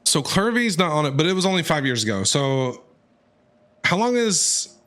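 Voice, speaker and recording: Animated higher-pitched man streaming. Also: normal speed. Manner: informal, reactive, thinking aloud. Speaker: game streamer. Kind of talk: casual live commentary on gameplay.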